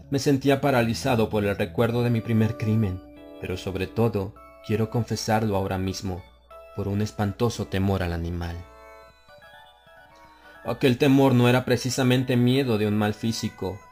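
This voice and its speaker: Man, audiobook narrator, dark confessional horror reading, slow and brooding, faint music underneath. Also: on the low side. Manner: slow, brooding confessional delivery that rises to anguished outcry.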